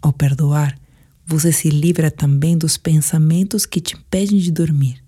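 Brazilian female meditation guide, deep register, relaxed and soft, soothing delivery for sleep narration. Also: slightly rough. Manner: calm, soothing, measured delivery.

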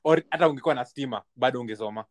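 A man hosting a podcast, asking his guest relaxed follow-up questions. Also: medium pitch, very fast. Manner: relaxed, prompting questions, mixes in Swahili. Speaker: podcast host. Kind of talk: casual interview chat.

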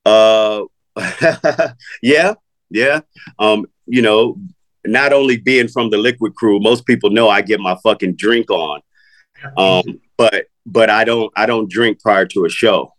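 A man's voice: fairly low pitch, slow, a bit bright. A middle-aged man, a rapper, talking casually in an interview, laid-back, swearing freely and filling his talk with "you know" and "man.".

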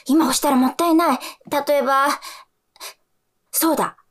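An actress playing a bossy, excitable young sweetheart with an eager, emphatic manner in a radio comedy drama. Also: female voice, high voice, moderate pace, lightly acted. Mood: insistent, excited. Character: bossy, excitable young sweetheart planning their whole future.

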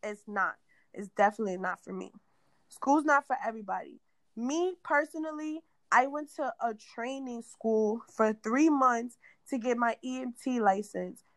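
A young woman from New York with a raspy voice after an asthma attack, hosting a casual podcast chat in a blunt, cursing rant. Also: a little high, moderate pace, dry recording. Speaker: podcast host.

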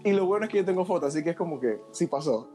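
A man's voice: a little high, animated, rapid-fire, warm tone, faint music behind. A man in his twenties with a Panamanian accent, hosting a casual podcast chat with friends in a slangy, joking way. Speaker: podcast host.

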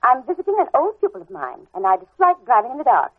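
A woman playing a prim, imperious middle-aged New England schoolteacher with an American accent, brisk and unflappable, in old-time radio drama style. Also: high-pitched, wildly swooping pitch, slightly rough, full character voice. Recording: tinny, band-limited vintage radio recording.

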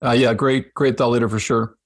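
A man hosting an interview podcast, easygoing and quick with short affirmations. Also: mid-range voice, fairly even intonation. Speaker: podcast host. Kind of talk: casual interview chat.

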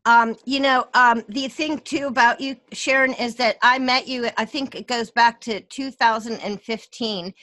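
A woman hosting a casual chat, enthusiastic and warmly gushing, with plenty of "um". Her voice is bright and somewhat high, recorded dry and close. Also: fairly even intonation, moderate pace, few pauses, dry recording. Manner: bubbly, enthusiastic, hesitant fillers. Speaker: host.

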